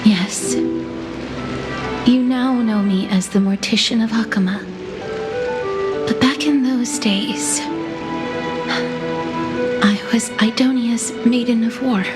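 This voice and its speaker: Soft sorrowful young maiden, video game drama, slow deliberate pacing, lightly high voice, prominent background music. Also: female voice. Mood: somber reflective. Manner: soft, deliberate, wistful, with sighs. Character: gentle, sorrowful young woman, former holy maiden turned mortician. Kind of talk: video game story voice acting. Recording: music behind the voice.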